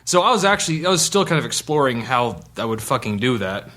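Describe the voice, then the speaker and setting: A man's voice: mid-range voice, big pitch swings, fast, pushed and bright. A man chatting casually as a podcast co-host, informal and sweary, with broken, restarted sentences. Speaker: podcast co-host and game player. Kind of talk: casual chat.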